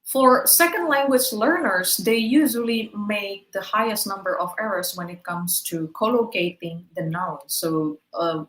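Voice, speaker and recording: A woman gives a patient webinar lecture to teachers, walking through examples one by one and checking her listeners follow along. Her voice sits at an ordinary middle pitch. The sound carries a noticeable room echo. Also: measured pace, hardly any pauses, a bit bright. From Indonesia. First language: Indonesian. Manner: explanatory, checks in with "right?". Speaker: webinar speaker.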